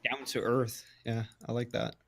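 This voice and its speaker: A man's voice: mid-range voice, little pitch movement, moderate pace. A young man chatting casually as a co-host in a fan discussion. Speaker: co-host of a live-streamed discussion.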